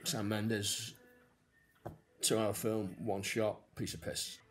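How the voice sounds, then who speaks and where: A man's voice: fairly low pitch, level tone, slow. A man chatting informally and hesitantly to viewers and on a phone call, with a matey, sweary, venting style. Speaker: comedian filming himself on a helpline call.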